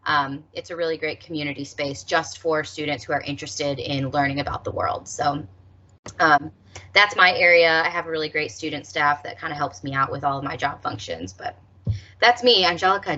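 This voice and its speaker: A woman's voice: sits low, brisk, bright, forceful tone. A woman who works with international students, presenting at an online orientation in an upbeat, joking way. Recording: dull, lo-fi video call audio.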